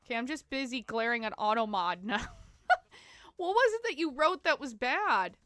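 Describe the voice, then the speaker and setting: A woman's voice: on the high side, lively intonation, moderate pace, fairly bright tone, dry recording. A young woman with a stuffy, congested voice from a cold, chatting casually and giggling with many fillers as she streams a game. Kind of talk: casual live-stream gameplay chat.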